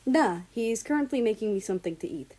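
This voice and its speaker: A woman reading the lines of an amiable, easygoing male guest in a storybook, relaxed and polite. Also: a little high, quick pace. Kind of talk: acted storybook dialogue.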